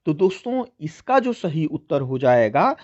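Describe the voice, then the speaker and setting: High-pitched man teaching, lively intonation. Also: a little slow, highs cut off. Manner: instructional, addresses listeners as friends, repeats key points. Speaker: teacher hosting a history quiz channel. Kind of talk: exam-prep lesson, explaining questions and answers.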